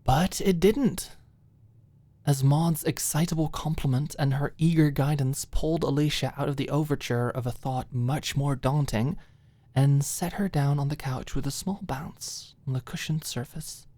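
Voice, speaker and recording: A woman's voice: low-pitched, a little slow, mellow, no room sound. A woman narrating scripted fiction as an ASMR audiobook storyteller.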